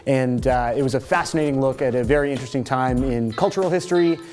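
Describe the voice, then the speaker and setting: A man's voice: mid-range voice, fast talker, darkish tone. A young Canadian man from Toronto hosting a music video series, casually answering viewer comments with jokey, sarcastic asides.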